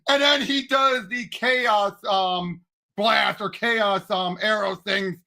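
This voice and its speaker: A man in casual fan chat as a show co-host, excitable and emphatic, often halting mid-thought. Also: high-pitched, gravelly. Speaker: co-host of a movie and comics discussion show.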